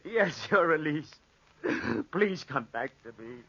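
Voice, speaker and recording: A man's voice: fairly high pitch, sing-song, slow, bright, forceful tone, full character voice. A man acting an impassioned, lovesick soldier losing his mind in the desert, in a melodramatic 1940s radio drama style. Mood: anguished grief. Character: lovesick, unhinged soldier stranded in the desert with a panther. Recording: muffled, noisy 1940s radio recording.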